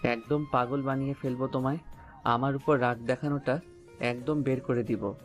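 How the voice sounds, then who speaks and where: Man acting a young amorous boyfriend, even delivery, teasing, insistent, romantic audio drama, soft music behind. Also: medium pitch, moderate pace, lightly acted.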